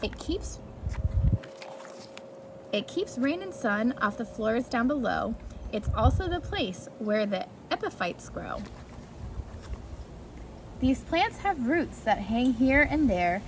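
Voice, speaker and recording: A woman's voice: fairly high pitch, sing-song, slow pace, pauses often. A woman reading a rhyming picture book aloud to preschoolers in a sing-song way, with brief asides. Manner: sing-song rhyming delivery addressed to young children. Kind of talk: read-aloud children's storytime with brief asides. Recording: clearly noisy amateur recording.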